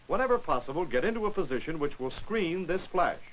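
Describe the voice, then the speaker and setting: A man giving brisk, wry scripted narration for a 1940s military training film, on an old film soundtrack. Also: a little high, expressive, fairly bright tone, poor audio.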